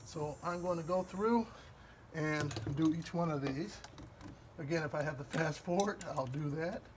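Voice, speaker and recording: A man from Wisconsin with a Midwestern accent, explaining a car repair step by step in a relaxed, rambling way while he works. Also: fairly high pitch, background noise. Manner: relaxed, rambling, with fillers and pauses while working. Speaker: DIY car repair host. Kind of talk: hands-on repair walkthrough.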